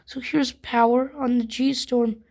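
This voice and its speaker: Female product reviewer, higher-pitched, informal, walking through features step by step in a casual demo. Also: little pitch movement, slow, darkish tone.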